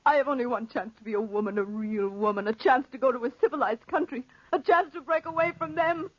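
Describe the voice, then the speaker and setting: A woman's voice: sits high, fast talker, no room sound. An actress playing a fearful, secretive desert woman, pleading and breathless, in 1940s radio drama style. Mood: desperate, pleading. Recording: muffled vintage 1940s radio recording.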